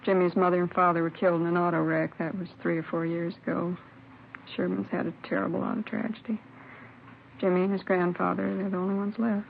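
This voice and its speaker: Creaky-voiced, chatty neighbor lady. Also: on the low side, little pitch movement, brisk. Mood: earnest, sympathetic. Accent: American. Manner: homely, talkative, sympathetic. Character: chatty, kindly, sympathetic female next-door neighbor. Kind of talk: 1950s radio crime drama acting. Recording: muffled, noisy vintage radio broadcast.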